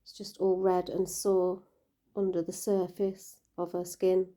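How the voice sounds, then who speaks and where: A woman with a croaky voice, gently talking through caring for her koi in a casual vlog. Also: fairly low pitch, completely flat delivery, unhurried. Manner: gentle, caring, chatty with fillers. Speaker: koi keeper vlogger.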